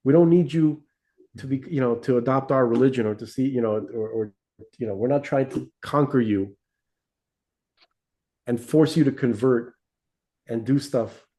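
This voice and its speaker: A man's voice: mid-range voice, moderate pace, pauses often, dark tone. A man co-hosting a podcast, talking through his views in a rambling way, with frequent restarts and tag questions like "right?" and "okay?". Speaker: podcast co-host. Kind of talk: casual religious discussion.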